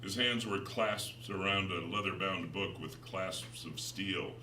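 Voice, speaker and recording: Deep-voiced man reading dramatically, roomy recording. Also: fairly even intonation, slow pace, pushed and bright. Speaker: author reading his own novel. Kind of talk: dramatic literary narration. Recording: roomy, distant, noisy recording.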